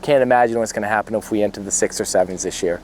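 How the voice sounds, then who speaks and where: A man's voice: fairly low pitch, rapid-fire, darkish tone. A man who owns a small business, speaking conversationally in an interview. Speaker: small heating fuel business owner. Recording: clearly noisy location sound.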